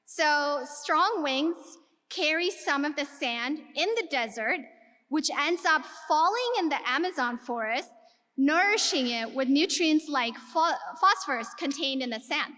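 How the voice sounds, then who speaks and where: A woman's voice: sits high, animated, unhurried, bright, forceful tone. A woman from Ethiopia giving an earnest keynote speech to a live audience, posing rhetorical questions to the audience. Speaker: keynote speaker. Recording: long reverb of a large hall.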